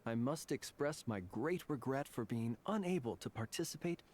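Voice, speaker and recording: A man voicing a composed, poetic officer in a video game, formal and measured, over faint ambience. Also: mid-range voice, lively intonation, crowd noise, lightly acted. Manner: measured, eloquent, flowery.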